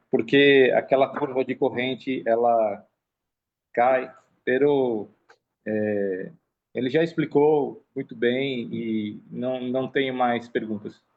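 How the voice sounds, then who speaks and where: A man with a Brazilian accent, a professor commenting hesitantly at a seminar over dull, band-limited call audio. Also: medium pitch. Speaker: university professor.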